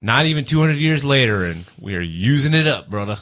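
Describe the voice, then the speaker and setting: A man's voice: medium pitch, animated, a little fast, a bit bright. A man co-hosting a podcast in casual, slangy banter, joking around as he talks. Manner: jokey, slangy, breaks into snatches of song. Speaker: podcast co-host who is also in a band.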